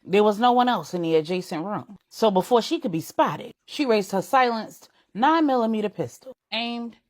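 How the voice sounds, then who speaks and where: A woman storyteller reading a dramatic scripted story, phrasing it deliberately in short units. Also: mid-range voice, animated. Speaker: storyteller, narrator.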